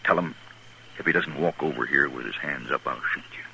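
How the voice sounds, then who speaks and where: A man's voice: extremely low pitch, a little fast, gravelly, pushed and bright, full character voice. A man playing a nervous, scheming American gambler with an edgy, urgent manner in a western radio drama. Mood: threatening. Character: shifty, nervous frontier gambler turned informer and schemer. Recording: noisy vintage radio recording.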